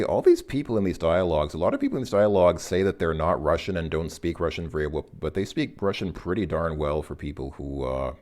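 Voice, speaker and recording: A man's voice: a bass voice, a little mellow. A man teaching a casual lesson, thinking aloud with many fillers and corrections. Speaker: language teacher. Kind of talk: casual recorded lesson explanation.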